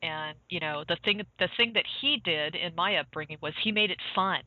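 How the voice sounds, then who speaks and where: A man's voice: high-pitched, brisk, sharp tone, no room sound. A man from the southern United States chatting casually as an interviewer, with interjections and a conversational manner. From southern United States (Georgia, North Carolina). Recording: tinny phone-like call audio.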